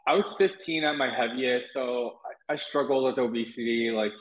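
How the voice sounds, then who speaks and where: A man's voice: medium pitch, normal speed. Candid man with Mandarin as first language. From Taiwan. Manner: candid, reflective, many restarts and "like". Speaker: wellness guest. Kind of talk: interview conversation. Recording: roomy echo.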